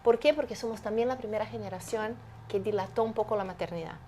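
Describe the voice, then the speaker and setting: A woman's voice: mid-range voice, quick pace, warm tone, a little hiss or hum. A woman, speaking as an interview guest. She explains her points emphatically and often poses rhetorical questions. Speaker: guest, entrepreneur. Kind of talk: animated interview conversation.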